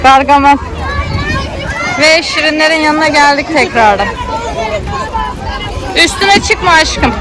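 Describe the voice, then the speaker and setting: A woman's voice: high-pitched, slow, long pauses. A woman with an Istanbul Turkish accent giving casual, chatty vlog commentary, talking both to a child and to viewers. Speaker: vlogger parent filming a child. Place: outdoor children's theme park. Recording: busy outdoor crowd noise. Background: crowd chatter.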